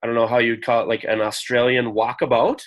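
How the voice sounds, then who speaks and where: A man's voice: mid-range voice, brisk. Male podcast host, easygoing interviewer, loose questions peppered with "um". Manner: relaxed, hesitant questions with fillers. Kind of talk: casual interview.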